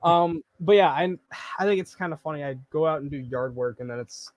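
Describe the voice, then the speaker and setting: A man hosting a casual video chat, relaxed and laid-back, filling his talk with "yeah" and "like". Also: on the high side, brisk, dull audio. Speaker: host.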